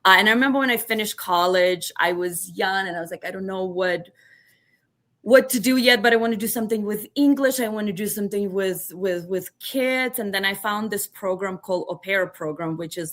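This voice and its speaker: A woman's voice: lowish voice, fairly bright tone. A woman in her early forties from Ukraine, with a Ukrainian accent, casually telling her own story as a podcast guest and acting out remembered remarks as she goes. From western Ukraine. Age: early 40s.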